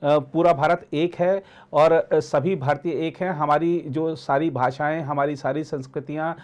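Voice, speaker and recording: A man speaking as an editor and commentator in a political discussion, halting and often repeating words and phrases. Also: a little high, fairly even intonation, a little mellow.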